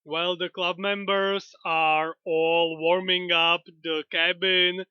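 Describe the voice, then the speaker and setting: Flat, slow-talking high-voiced man. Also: clear, resonant tone, no room sound. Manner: slow, even, unhurried retelling. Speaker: channel host. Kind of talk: retelling a comic story. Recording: dull lo-fi dry recording.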